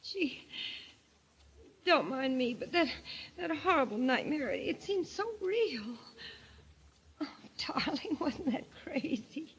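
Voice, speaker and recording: A fretful young wife shaken by nightmares in a vintage radio play. The voice is high and swoops widely, with breathless, halting, melodramatic delivery. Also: female voice, lively intonation, a bit bright, heavily acted, rough recording. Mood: apologetic, tender. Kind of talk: old-time radio drama acting.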